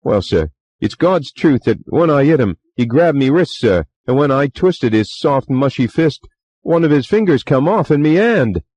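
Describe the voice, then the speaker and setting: A man's voice: medium pitch, sing-song, moderate pace, a little mellow, no room sound, voice pushed up. Man doing a jittery Cockney bellboy. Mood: agitated. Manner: rough dialect speech, deferential, many "sir"s. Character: young Cockney male bellboy, nervous and chatty.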